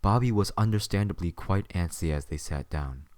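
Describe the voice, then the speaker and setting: A man's voice: deep voice, normal speed, darkish tone, dry recording, clean studio sound. A man reading scripted third-person story narration as the narrator of an audio drama.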